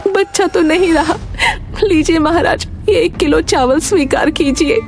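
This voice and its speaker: A woman's voice: very high voice, unhurried, over music, pitched above the performer's own voice. A woman voicing a grieving, trusting village mother who pleads devoutly in a story. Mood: sorrowful.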